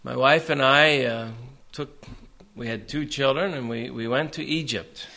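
An American man preaching to a congregation in short phrases, pausing for an interpreter after each one. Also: mid-range voice, vocal fry, bright, forceful tone. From United States. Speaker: visiting preacher.

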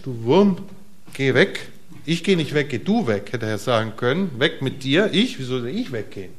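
A middle-aged man preaching a casual sermon to a congregation in a church, asking them questions and swinging between emphatic bursts and quiet, soft asides. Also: mid-range voice. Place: church hall before a congregation.

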